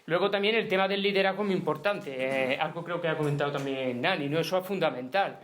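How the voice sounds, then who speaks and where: A man's voice: on the high side, quick pace, fairly bright tone, slight background noise. A middle-aged man from Spain speaking at a conference in a hall, emphatic and argumentative, often ending points with tag questions. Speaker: union speaker. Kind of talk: conference talk.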